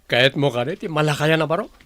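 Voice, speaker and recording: An actor with a Filipino accent playing a kind, lovestruck young suitor, earnest and eager, in a radio drama. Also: male voice, a little high, lively intonation, fast, a bit bright, no echo. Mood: delighted.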